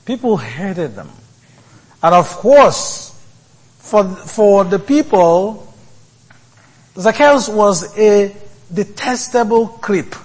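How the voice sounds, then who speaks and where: High-voiced man preaching slowly. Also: sing-song, a little mellow, breathy edge, rough recording. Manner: deliberate preaching in short halting phrases. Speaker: priest. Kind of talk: sermon.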